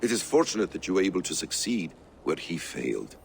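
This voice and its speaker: An actor playing a calm, secretive male assassin who speaks in a measured, persuasive way. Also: low-pitched, gravelly, a little hiss or hum, exaggerated voice. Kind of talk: video game cutscene acting.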